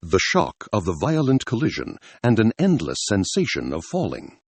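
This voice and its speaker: A man's voice: on the low side, huge pitch swings, moderate pace, a little hiss or hum. A man narrating a scripted adventure story in a dramatic style.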